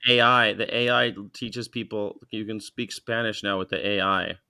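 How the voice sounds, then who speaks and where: A man's voice: lowish voice, steady tone, normal speed, bright, forceful tone, clean tone. A man chatting as a guest on a casual livestream, joking around playfully.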